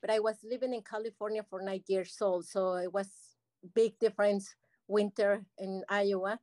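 A woman's voice: medium pitch, fairly even intonation, normal speed, darkish tone, no echo. A woman from Mexico with a Mexican accent, a Spanish native speaker, sharing her migration story earnestly over a video call with plenty of fillers. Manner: earnest, simple phrasing with frequent fillers. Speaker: panel guest.

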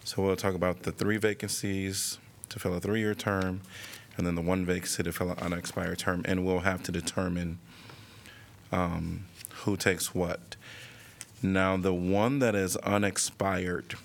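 A man with a very low voice runs a city council meeting. He reads agenda items and calls for motions and votes in a steady, procedural manner. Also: vocal fry. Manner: measured, procedural. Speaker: mayor chairing a council meeting. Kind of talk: chairing a public meeting, reading agenda items.

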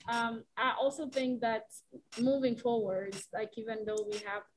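A woman commissioner with a loud voice, speaking casually with many fillers in a meeting. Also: fairly high pitch, fairly even intonation, normal speed, a little hiss or hum, rough recording. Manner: casual, lots of "like".

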